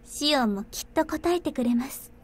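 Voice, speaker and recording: A gentle young woman from a visual novel, high-voiced and reassuring. She speaks earnestly and briskly, with music playing behind her. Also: lively intonation. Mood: tender, reassuring. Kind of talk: Japanese visual novel voice acting.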